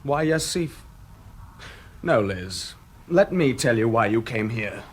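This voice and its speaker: An actor playing a sarcastic, menacing, unhinged brother in a radio drama, husky and theatrically taunting. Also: male voice, medium pitch, lively intonation, slow. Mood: sarcastic, sinister. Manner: theatrical, taunting, swinging from silky to explosive.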